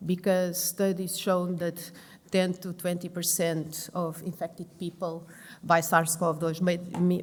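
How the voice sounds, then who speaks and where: Woman, Portuguese accent, middle-aged doctor, halting conference talk, slow, lowish voice. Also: darkish tone. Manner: halting, repeats and restarts words. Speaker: pulmonologist and intensive care doctor. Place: large conference hall. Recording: long hall reverb.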